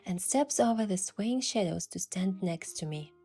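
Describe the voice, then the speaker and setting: A woman's voice: medium pitch, lively intonation, normal speed, mellow, husky. A woman reading scripted first-person romance narration and voicing the heroine. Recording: music behind the voice.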